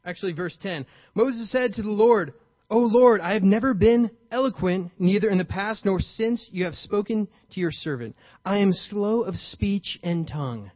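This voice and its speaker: A young adult man preaching a sermon, lively and playful, acting out paraphrased dialogue with sudden emphasis. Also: high-pitched, moderate pace, no echo. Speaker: pastor. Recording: dull, slightly lo-fi, dry sound.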